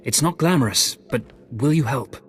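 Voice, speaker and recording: A man's voice: mid-range voice, normal speed, close to an everyday voice. Man playing an earnest Imperial expedition organizer. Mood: urgent, persuasive. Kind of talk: video game cutscene acting.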